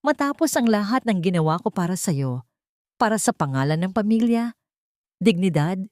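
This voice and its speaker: A woman's voice: mid-range voice, expressive, normal speed, a little mellow, no echo, studio quality, natural voice. A woman voicing a proud older wealthy mother in an audio drama, trembling and indignant. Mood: shaken indignation.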